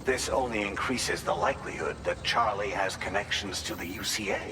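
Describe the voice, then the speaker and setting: An actor playing an earnest government investigator in a video game, speaking in a formal, measured, analytical way. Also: male voice, lowish voice, lightly acted. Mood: grave, analytical. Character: earnest male government investigator reporting privately. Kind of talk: video game cutscene dialogue. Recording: roomy with long reverb.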